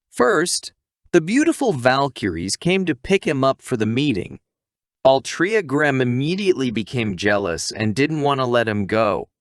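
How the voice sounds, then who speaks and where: Male narrator for scripted anime recaps; lively, varied melody, dry studio-tight sound. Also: mid-range voice, no echo.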